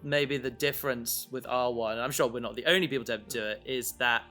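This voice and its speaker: Guy cohosting a podcast, bright forward voice, rambly and stop-start, music underneath. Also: medium pitch. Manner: rambling, halting, self-interrupting. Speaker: podcast co-host and audio drama creator. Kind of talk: casual podcast chat.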